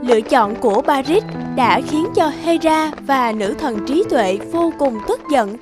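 A woman's voice: high-pitched, animated, unhurried. A woman reading scripted fairy-tale narration as a storyteller. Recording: music behind the voice. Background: music.

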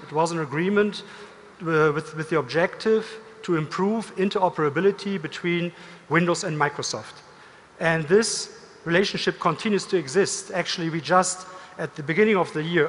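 The middle-aged man you would hear on a big conference stage, delivering the company keynote deliberately in a fairly high voice that rings through the hall. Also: a bit bright. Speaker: company executive, keynote speaker. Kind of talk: corporate keynote presentation. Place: large indoor conference stage. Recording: reverberant hall, stage amplification.

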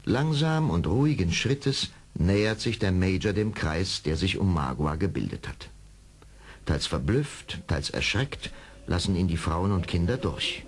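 A man narrating an audio drama from a script, in measured storyteller phrasing. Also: deep voice, very animated, slightly rough. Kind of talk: scripted radio play narration.

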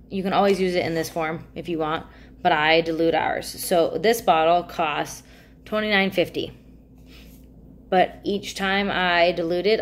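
A woman's voice: on the low side, slight background noise. A young woman chatting enthusiastically to camera about a product, talking with her hands in a small room. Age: young adult. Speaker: product reviewer. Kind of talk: casual talking-head product chat. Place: small indoor room by a plain wall.

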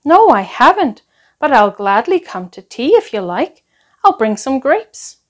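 A woman's voice: sits high, sing-song, measured pace, voice pushed up. A woman voicing a friendly storybook animal in a cheerfully obliging manner. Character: friendly, agreeable animal friend offering treats.

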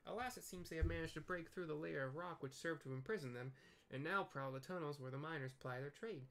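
Man doing a courtly little old gentleman. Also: fairly high pitch, quick pace. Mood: grave, explanatory. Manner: formal, archaic, effusive courtesy. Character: courtly old male tiny-folk former royal guard.

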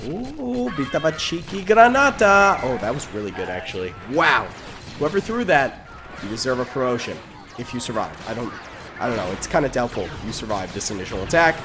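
A man's voice: medium pitch, sing-song, fairly quick, noisy background. Young man with a sick, worn voice. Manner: upbeat, chatty, talks to viewers. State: sick, partly lost voice. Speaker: gaming channel host. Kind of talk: casual gameplay commentary.

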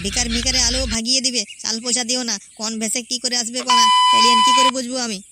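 A man's voice: very high voice, level tone, very fast. A man voicing a brash, bossy village man in an amateur comedy cartoon, giving emphatic orders. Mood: bossy alarm. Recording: very noisy amateur cartoon audio.

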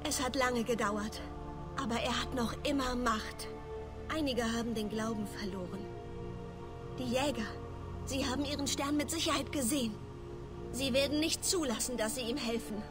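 Actress as a confused castaway woman, higher-pitched, music behind. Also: normal speed, long pauses, natural voice. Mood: anxious, earnest. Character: confused, devout female castaway with failing memory.

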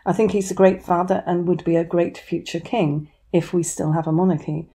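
A woman's voice: lowish voice, little pitch movement, normal speed, warm tone. A woman giving opinion commentary as a voice-over. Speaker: commentary channel host.

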